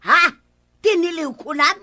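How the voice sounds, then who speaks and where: A woman's voice: very high-pitched, unhurried, bright, forceful tone, full character voice. A woman acting a whining, gambling housewife in a melodramatic Ilocano radio comedy drama. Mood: plaintive. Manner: wailing, exclamatory, melodramatic. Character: whining gambling housewife, loud and easily hurt.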